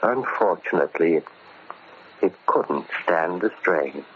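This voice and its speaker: An actor playing a vengeful, cunning ex-convict barber in 1940s radio drama, speaking with deliberate, menacing politeness. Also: male voice, low voice, lively intonation. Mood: smug, cold. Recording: scratchy, narrow-band old radio recording.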